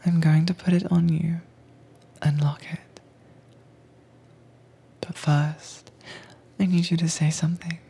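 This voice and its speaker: Woman acting a breathy, whispering, dominant monster tamer. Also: low voice, level tone, mellow, heavily acted. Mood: gently commanding. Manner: slow, coaxing, commanding. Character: calm, teasingly dominant woman who tames captive werewolves. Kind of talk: soft-spoken whispered roleplay audio, ASMR.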